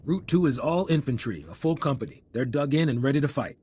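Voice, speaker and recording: An actor playing a cocky, blunt military officer with a brisk, informal manner in a video game. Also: male voice, mid-range voice, lively intonation, a little mellow, breathy edge. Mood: brisk briefing. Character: cocky, blunt male resistance fighter officer.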